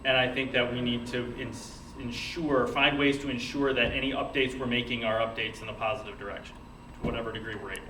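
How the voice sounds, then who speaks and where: A man's voice: mid-range voice, fairly even intonation, fairly quick. A man speaking thoughtfully and off the cuff to a group. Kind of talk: spontaneous remarks to a group. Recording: noisy echoey room, distant mic.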